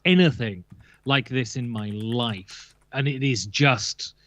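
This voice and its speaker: A man's voice: mid-range voice, slow, a bit bright, no room sound. A man chatting casually and emphatically about board games as a guest, sometimes jokey, on slightly muffled audio. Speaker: guest on a board game list show. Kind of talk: casual opinionated chat.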